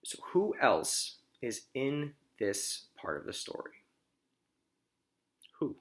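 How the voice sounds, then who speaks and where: A man reading a children's storybook aloud like a teacher, expressively, pausing to explain and ask questions. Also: medium pitch, big pitch swings, fairly bright tone.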